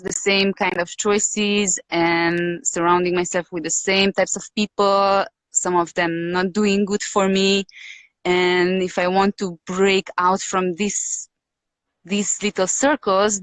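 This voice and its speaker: A woman with a Hungarian accent, raised in Romania, talks slowly through her thoughts as an interview guest. Her voice sits a little low and is recorded dry and close, with no room sound. She hesitates often, repeats words and searches for the right phrase. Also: no room sound, poor audio. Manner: hesitant, searching for words with many pauses and fillers. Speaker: podcast guest. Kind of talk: reflective interview talk.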